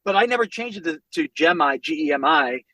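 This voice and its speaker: A man in his forties from the American Midwest, a podcast guest telling family stories in an animated, loose way, swearing freely and laughing as he talks. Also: on the high side, fairly quick, no echo. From United States, Northwest Indiana. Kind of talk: casual storytelling conversation.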